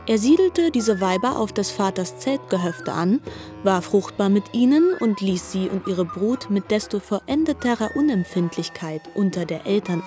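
Mellow female narrator, formal and questioning. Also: medium pitch. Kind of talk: dramatised literary narration.

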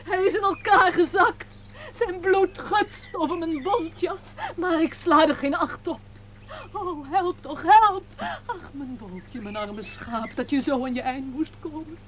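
High-voiced melodramatic wife. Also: female voice, unhurried. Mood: wailing, distraught. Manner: melodramatic, theatrical. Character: melodramatic wife of a company director. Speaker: actor. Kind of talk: Dutch radio play acting. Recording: dull, noisy old radio recording.

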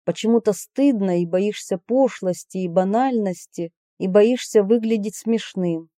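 A woman reading personal letters aloud in an expressive way. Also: medium pitch, a little slow, dry recording, studio quality.

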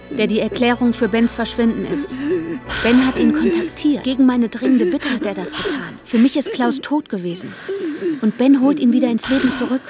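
Anxious woman narrator played by an actress, higher voice, intimate inner monologue sliding into whispers, prominent music underneath. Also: close to an everyday voice. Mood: bitter, fearful. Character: anxious, panic-stricken woman narrating her inner turmoil. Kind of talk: German radio drama, intimate first-person inner monologue.

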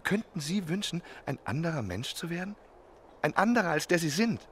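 An actor in a German radio drama playing a lovesick, spoiled young French marquis who talks in an affected, confiding way. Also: male voice, on the high side, big pitch swings, moderate pace, slight background noise. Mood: indignant, plaintive. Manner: affected, florid, confiding speech.

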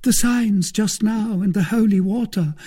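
Man putting on a high pleading jealous wife. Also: measured pace, dark tone, breathy edge. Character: plaintive middle-aged lawyer's wife, jealous and reproachful. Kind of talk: audiobook character reading.

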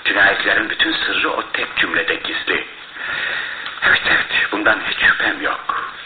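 A male actor plays a shrewd, confident sleuth in a radio play. His low voice leaps about with theatrical emphasis and thoughtful pauses. The sound is roomy and phone-thin. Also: lightly acted. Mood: excited, deducing. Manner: dramatic, emphatic, with pondering pauses. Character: shrewd, theatrical male detective, probing and self-assured. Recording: roomy, tinny radio broadcast with faint noise.

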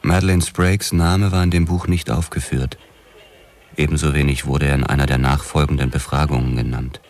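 An actor playing a brooding, obsessed police detective, narrating his own noir story in a measured, sombre manner. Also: very deep voice, a little mellow. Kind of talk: scripted noir first-person narration.

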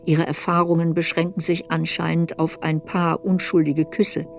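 A woman reading scripted literary narration for a radio play in a measured, reflective way. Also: sits low, little pitch movement, creaky, faint music behind.

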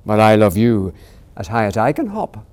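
A man voicing a big, grown-up male hare who speaks gently and steadily to his little one. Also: mid-range voice, unhurried, lightly acted. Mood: gentle, fond.

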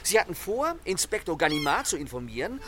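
A man playing a suave, mocking gentleman thief in a German radio play, with a theatrical, commanding manner. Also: on the high side, big pitch swings, brisk. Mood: smug, irritated. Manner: theatrical, urbane, playfully threatening.

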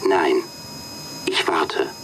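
A man's voice: very deep voice, drawn-out words, fairly bright tone, a little hiss or hum, heavily acted. A man playing a cool, formal computer program that interviews job candidates in a radio drama, clipped and impersonal. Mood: stern, demanding.

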